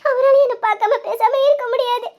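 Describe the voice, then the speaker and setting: A woman's voice: very high voice, fairly even intonation, fairly quick, muffled recording. A woman voicing a lovesick young woman who sobs and pleads to her younger sister. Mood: tearful, longing. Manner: sobbing, pleading, breaking into tearful laughs. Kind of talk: dramatized audio story.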